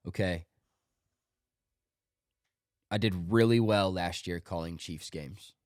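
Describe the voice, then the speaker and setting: A man's voice: deep voice, takes it slowly, dry recording, studio quality. A man hosting a sports podcast, a little congested from a cold, running through his picks in an offhand, joking way. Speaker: podcast host. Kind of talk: casual sports podcast monologue.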